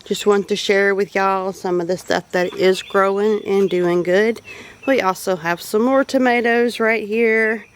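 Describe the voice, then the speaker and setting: A woman's voice: medium pitch, fairly even intonation, measured pace, a little hiss or hum. Casual homestead vlogger: chatty, folksy Southern US woman, mid-range voice, recorded outside with light animal and bird ambience. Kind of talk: casual garden tour narration. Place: outdoors in a home garden. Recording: outdoor ambience with animal noises. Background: birdsong and farm animal sounds.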